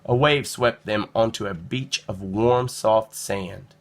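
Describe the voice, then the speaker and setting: A man narrating a children's storybook in an expressive read-aloud style. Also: fairly low pitch, slow.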